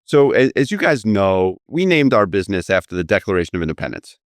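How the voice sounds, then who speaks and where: Low-voiced male podcast host, dry mic. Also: very animated, fast talker, no room sound. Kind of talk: conversational interview.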